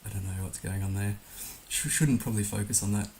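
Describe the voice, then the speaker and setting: Hoarse, gravelly, low-voiced man thinking aloud. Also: fairly even intonation, a little fast, darkish tone. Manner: musing, hesitant, thinking aloud. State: hoarse, recovering from being under the weather. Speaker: livestream host playing a geography guessing game. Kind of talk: casual live game commentary. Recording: noticeable background hiss.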